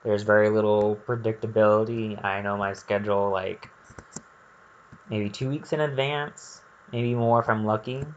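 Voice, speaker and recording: A man's voice: fairly low pitch, fairly even intonation, moderate pace, dull audio, slight background noise. A man giving casual, rambling gameplay commentary, thinking aloud in a candid and hesitant way. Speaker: gaming video creator.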